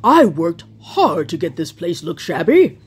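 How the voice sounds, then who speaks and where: A woman voicing a dry, joking middle-aged husband in a storybook read-aloud, with a slow, wry delivery. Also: fairly low pitch, big pitch swings, slightly rough, exaggerated voice.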